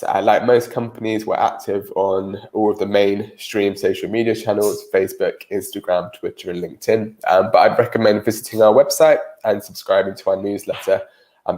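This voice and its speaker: A man's voice: lowish voice, a little mellow. A British man with a cold and a nasal voice, giving a live-streamed career webinar presentation with frequent ums.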